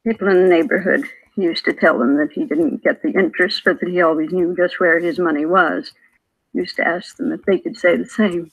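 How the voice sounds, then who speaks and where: Hesitant, plaintive elderly widow. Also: female voice, lowish voice, fairly even intonation, brisk, husky, low-quality recording. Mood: plaintive, resigned. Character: frail, shaken elderly widow, hesitant crime victim. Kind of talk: 1950s radio drama acting. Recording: vintage 1950s radio broadcast.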